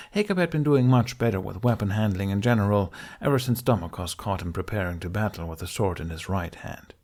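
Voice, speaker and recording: A man's voice: fairly low pitch, a little mellow. A man reading a fantasy adventure story aloud as a scripted audiobook narration.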